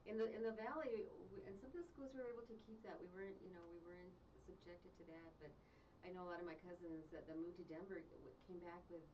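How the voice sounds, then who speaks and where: A woman from southern Colorado whose first language is Spanish tells family stories as an author and genealogist. She speaks haltingly and repeats her words. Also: fairly low pitch. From southern Colorado, USA. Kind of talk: conversational interview storytelling. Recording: distant, dull mic with faint hiss.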